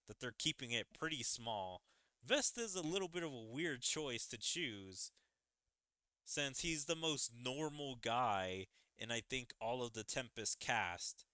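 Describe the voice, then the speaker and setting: Bright-voiced man musing over a game. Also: medium pitch, clean tone, dry recording. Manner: speculating, musing aloud. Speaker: streamer. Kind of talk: casual game commentary.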